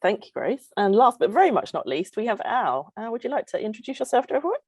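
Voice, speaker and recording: A woman researcher hosting a live online science session, welcoming and explaining things clearly over a video call. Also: a little high, sing-song, fast talker, a little mellow, no echo, studio quality. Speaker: host and postdoctoral researcher. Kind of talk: friendly live webinar presenting.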